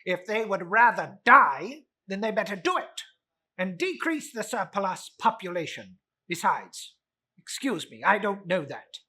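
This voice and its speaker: A man's voice: sits high, sing-song, a little slow, pushed and bright, husky, pitched above the performer's own voice, full character voice. A man playing a cold, miserly old businessman in a storybook reading, curt and scornful.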